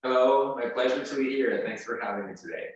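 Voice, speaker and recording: Venezuelan flutist in a roomy echo. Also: male voice, medium pitch, a little fast, distant mic, highs cut off. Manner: hesitant, many fillers and restarts. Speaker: principal flute player, guest. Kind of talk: casual interview answers. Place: his home.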